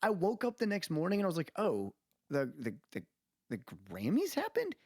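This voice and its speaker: A man's voice: sits high, wildly swooping pitch, moderate pace, no room sound, studio quality. A man talking casually and emphatically as a podcast co-host, giving opinions in informal, colloquial language. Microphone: close podcast mic on remote recording.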